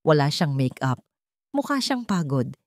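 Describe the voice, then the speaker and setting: A woman narrates scripted romance fiction as a dramatic storyteller. Her pitch sits on the low side, and the recording is dry and free of room sound. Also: very animated, unhurried, no echo.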